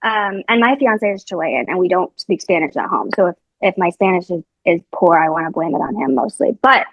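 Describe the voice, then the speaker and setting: A woman's voice: on the low side, animated, fairly quick, no echo, dull audio, faint music behind. A young adult woman reporter, a podcast guest, answering questions in a chatty way with many fillers and restarts. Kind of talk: casual interview answers.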